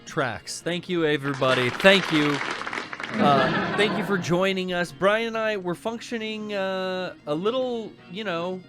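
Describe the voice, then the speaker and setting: A man's voice: high-pitched, a little slow, over music, crowd noise. A man in his thirties co-hosting a casual livestream from a small home room full of records, joking and bantering loosely. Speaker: co-host.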